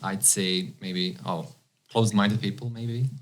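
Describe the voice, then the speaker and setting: A man in his thirties from Bosnia, a non-native English speaker whose first language is Croatian, talking haltingly as a podcast guest with many fillers. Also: deep voice, steady tone. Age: 30s. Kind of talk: casual interview chat.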